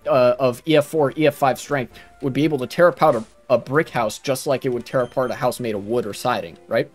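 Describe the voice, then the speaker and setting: A young adult man, rambling casually and earnestly as he explains things during a game stream. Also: medium pitch. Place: small room at home, indoors. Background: game music.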